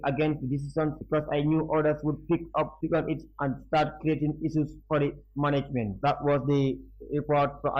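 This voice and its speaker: Man reading news, fairly high-pitched, even tone. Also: lo-fi sound. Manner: formal reading with frequent stumbles over words. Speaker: news reader and presenter. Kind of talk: reading newspaper reports aloud.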